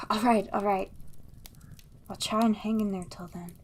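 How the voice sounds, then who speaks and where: An actress playing a weary, resigned, soft-spoken woman in an audio drama, breathy and hesitant. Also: medium pitch, fairly even intonation, measured pace, a little hiss or hum, lightly acted. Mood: weary resignation.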